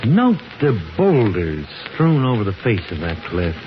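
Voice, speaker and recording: An actor playing a seasoned, thoughtful professor and explorer, measured and authoritative, in 1950s radio drama style. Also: male voice, fairly low pitch, huge pitch swings. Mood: thoughtful, speculative. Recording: muffled, noisy old radio recording.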